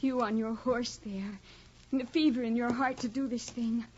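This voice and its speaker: A woman's voice: fairly high pitch, steady tone, normal speed, darkish tone, natural voice. A woman in a 1950s radio drama playing a sorrowful, devoted woman with solemn, lyrical delivery. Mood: mournful. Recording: dull, noisy 1950s radio recording.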